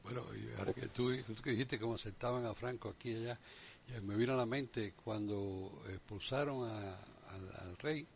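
Cuban man, deep creaky voice, informal discussion with halting restarts, muffled recording with faint noise. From Cuba. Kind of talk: casual unscripted discussion.

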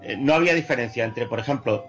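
A man's voice: medium pitch, fast, fairly bright tone, faint music behind. A man from Madrid with a Madrid Spanish accent, chatting casually as a radio co-host and repeating his words. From Spain, Madrid.

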